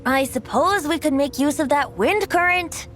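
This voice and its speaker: A woman's voice: high voice, a bit bright. A woman voicing a carefree, teasing young male bard in a game cutscene, speaking lightly and playfully. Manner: teasing, lighthearted, slow and lilting. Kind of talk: video game cutscene voice acting. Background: game music.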